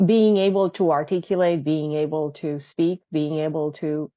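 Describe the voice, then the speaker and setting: A woman hosting a podcast interview whose first language is not English, encouraging her guest and pausing with "um.". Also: sits low, fairly even intonation, mellow, dry recording, highs cut off. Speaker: podcast host.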